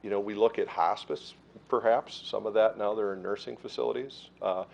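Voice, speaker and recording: Gravelly low-voiced man explaining plans. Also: normal speed, poor audio. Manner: steady, reassuring explanations with frequent fillers. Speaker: ambulance service manager. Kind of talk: answering questions at a council meeting. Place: council meeting room.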